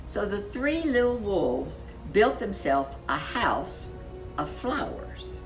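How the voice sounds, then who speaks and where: Female storybook narrator: expressive, slow and deliberate, highly varied intonation, soft music underneath. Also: vocal fry, rough recording. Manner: deliberate, dramatic emphasis.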